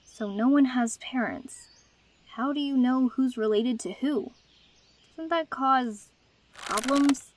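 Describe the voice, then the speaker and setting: A young dragon girl, acted by a woman with a highish voice, proud and hurt. She speaks in a dry, guarded, sarcastic way, as in an animated fantasy drama. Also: slow pace, a little hiss or hum. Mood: bitter. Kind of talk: dubbed fantasy drama acting.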